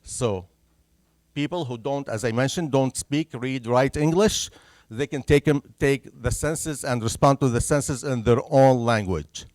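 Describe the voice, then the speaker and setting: A man's voice: mid-range voice, a little slow, darkish tone, dry recording. A man from Syria with a Syrian Arabic accent, a native Arabic speaker, giving an earnest public information presentation at a senior center and checking in with his listeners after each point. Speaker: census presenter. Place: senior center meeting room, indoors.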